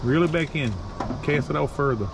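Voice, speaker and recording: A man's voice: fairly high pitch, normal speed, vocal fry. A man with a South Louisiana accent joking and teasing as kids fish from a small boat outdoors. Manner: teasing, playful banter. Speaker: adult on a family fishing trip. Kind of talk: casual outdoor chat. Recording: noisy outdoor recording.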